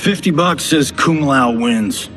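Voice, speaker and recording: An actor playing a cocky, vain American movie star in a video game, flippant and boastful. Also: male voice, medium pitch, expressive, takes it slowly, fairly bright tone, faint music behind. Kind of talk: video game cutscene acting.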